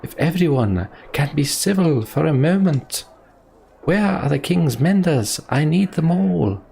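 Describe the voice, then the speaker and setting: A man's voice: a little high, lively intonation, unhurried, gravelly, mellow, a little hiss or hum, voice pushed up. A man voicing a stern, urgent female mage in command, reading fantasy game dialogue. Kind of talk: voiced video game dialogue.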